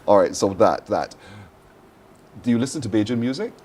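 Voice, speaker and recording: A man's voice: on the low side, animated, normal speed, a little mellow, husky. A man with a Barbadian accent hosting a live TV morning show, chatty and encouraging, with frequent affirmations. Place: TV studio.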